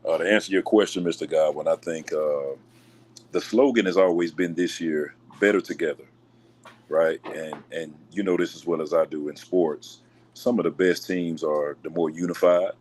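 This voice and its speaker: A man with a deep voice, a basketball coach being interviewed on a local sports talk show, answering in a measured, polite way. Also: steady tone, darkish tone, a little hiss or hum. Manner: measured, polite, says "yes, sir". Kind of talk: casual radio show interview.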